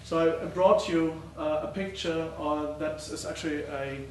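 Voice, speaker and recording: A middle-aged man giving a conference talk as a professor presenting research, speaking haltingly with many uh and um fillers. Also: fairly high pitch, steady tone, a little mellow. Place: lecture hall. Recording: roomy, distant, faint noise.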